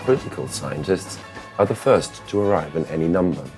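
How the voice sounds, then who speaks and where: A man voicing a solemn, measured nature documentary narrator in a scripted parody. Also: low-pitched, expressive, music in the background.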